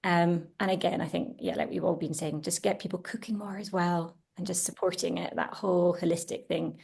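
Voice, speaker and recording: A woman's voice: on the low side, fairly even intonation, brisk, vocal fry, a little mellow, slightly rough. A woman who founded a children's food brand, talking chattily and eagerly on a webinar panel, with many fillers and restarts. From Italian household. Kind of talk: casual panel discussion. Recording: webinar over video call.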